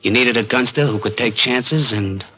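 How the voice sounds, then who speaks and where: A man's voice: medium pitch, normal speed, sharp tone, lightly acted, low-quality recording. An actor playing a bitter small-time gunman in a 1940s radio crime drama, with an American accent and streetwise, hard-boiled slang. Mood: bitter accusing. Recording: vintage 1940s radio recording, music behind.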